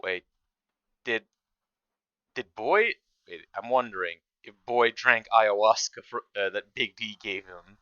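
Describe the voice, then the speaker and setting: A man's voice: fairly low pitch, measured pace, bright, forceful tone, clear, resonant tone, dry recording, highs cut off. A man giving casual, rambling, amused commentary as the host of a reaction video.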